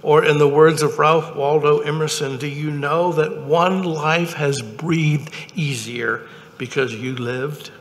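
A man in his seventies, a chaplain, delivering a sermon from notes in a measured, deliberate way with pauses between phrases. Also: a little high, fairly even intonation. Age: 70s. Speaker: chaplain preaching. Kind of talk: sermon, read from notes at a lectern. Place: indoor chapel, at a wooden lectern. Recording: reverberant chapel hall.

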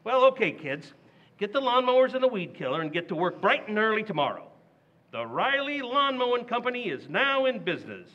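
A man's voice: high voice, big pitch swings, a little fast, dull audio. An actor playing a blustery, boastful working-class father in an old-time radio play recreation, mangling big words.

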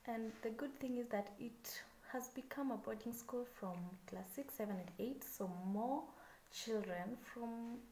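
A woman in her twenties with a Kenyan accent talks straight to camera in a relaxed, chatty way, a touch nervous and full of "so yeah" fillers. Her voice is mellow and soft-edged. The sound bounces around a small room, with a faint hiss behind it. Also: fairly high pitch, roomy sound, low-quality recording. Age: 20s. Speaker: vlogger. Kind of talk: casual self-introduction to camera.

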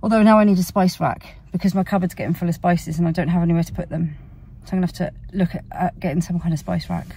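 British female vlogger, 40s, lower husky voice, casual and meandering, recorded slightly off-mic in a car. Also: fairly even intonation, quick pace, few pauses, mellow, recorded from a distance. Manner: rambling, word-searching, self-correcting. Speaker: family vlogger. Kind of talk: casual chat to camera. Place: inside a parked car.

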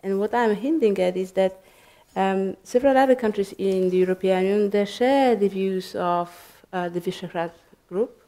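A woman answering as a panelist in a library discussion, thoughtful and hesitant, with many pauses and restarts. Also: medium pitch, mellow. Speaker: panelist, researcher. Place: library event room.

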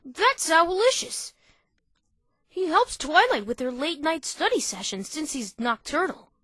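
Woman doing little boy dragon sidekick, cartoon dub, childlike, cheeky, sharp high voice, unhurried, cocky. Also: big pitch swings, no echo, clean studio sound, exaggerated voice. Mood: casual, cheeky. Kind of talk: cartoon-style fan dub acting.

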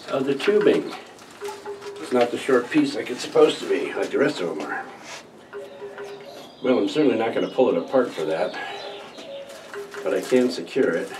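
Wry rambling older man. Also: background noise. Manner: rambling, wry, lively pitch. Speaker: vlogger, prop maker. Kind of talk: casual chat to camera. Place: small cluttered room indoors, then patio workshop.

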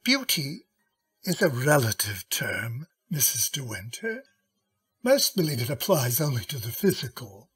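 Husky, slow-spoken courtly gentleman lawyer. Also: mid-range voice, big pitch swings, exaggerated voice. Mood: thoughtful. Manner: courteous, hesitant, deliberate. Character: courtly, mild middle-aged gentleman lawyer, cautious. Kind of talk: old-time radio drama acting.

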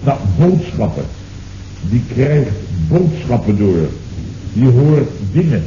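An actor playing a blustering, excitable middle-aged boss who repeats himself with hearty exclamations in a 1970s Dutch radio comedy. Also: male voice, medium pitch, slow. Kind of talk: Dutch radio comedy acting, circa 1970. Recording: echoey, muffled, noisy old radio recording.

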